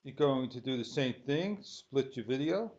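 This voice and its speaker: A man giving an unscripted software tutorial, thinking aloud in short, halting phrases as he works. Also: mid-range voice, expressive. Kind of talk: unscripted screen-recorded tutorial. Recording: dull lo-fi sound.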